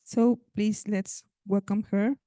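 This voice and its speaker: Slow, mellow woman introducing a speaker. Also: medium pitch, steady tone, no echo, clean studio sound. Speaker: host.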